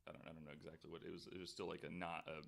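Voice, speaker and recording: A man's voice: very deep voice, flat delivery, very fast, vocal fry, a bit bright. A man hosting a podcast, chatting casually and then reading researched notes aloud, hesitant with frequent ums and restarts. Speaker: podcast host.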